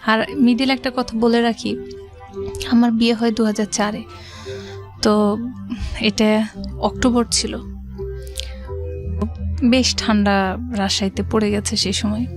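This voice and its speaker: A woman's voice: fairly high pitch, level tone, a little slow, pauses often. A middle-aged woman telling her life story as a studio guest, conversationally, repeating and rephrasing as she goes. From Rajshahi, Bangladesh. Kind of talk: personal life-story narration. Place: radio studio. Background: music.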